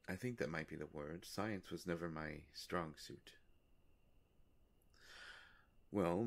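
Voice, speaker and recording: A man playing a courteous, world-weary immortal vampire in an intimate roleplay monologue, musing politely and haltingly with long pauses. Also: sits low, vocal fry. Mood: sheepish, musing.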